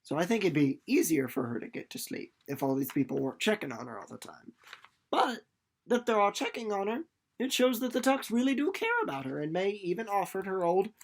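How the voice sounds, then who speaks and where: A man's voice: high voice, sing-song, a little fast, vocal fry. A young man giving a casual, informal book recap, playful and rambling off into asides. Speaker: book reader and presenter.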